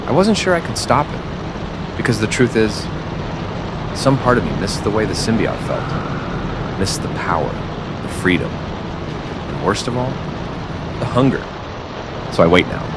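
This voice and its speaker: A man with a low voice narrates a dark first-person story. He is slow and serious, leaving dramatic pauses between phrases. Heavy rain and city traffic noise run behind him. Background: rain and city traffic ambience.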